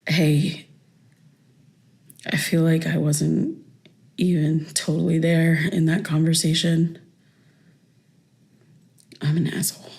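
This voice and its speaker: A woman's voice: low-pitched, level tone, takes it slowly, gravelly, long pauses, darkish tone, full character voice. A woman playing a raw, insistent daughter in her thirties in a naturalistic audio drama, speaking in a hesitant, conversational way. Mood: confiding, subdued. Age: 30s. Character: wounded thirty-three-year-old daughter, insistent and raw.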